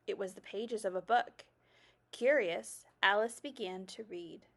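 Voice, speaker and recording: A woman reading a children's picture book aloud in an expressive storytelling style. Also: medium pitch, measured pace, creaky.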